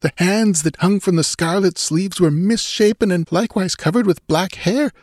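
A frightened young nobleman, acted out by a male reader, speaks in a raised, strained pitch with hushed urgency. His words are formal and full of horror, as in a fantasy audiobook, and the sound is close and dry. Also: moderate pace, dry recording. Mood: horrified, hushed. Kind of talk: dramatized audiobook fantasy adventure.

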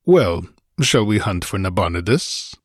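A gruff barbarian warrior, played by a male narrator, talks bluntly in a lowish, growling voice. He is fearless with a wary edge, in the manner of a fantasy audiobook, recorded dry. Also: sing-song, measured pace, no room sound, heavily acted. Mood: blunt, grim. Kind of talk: dramatized audiobook fantasy adventure.

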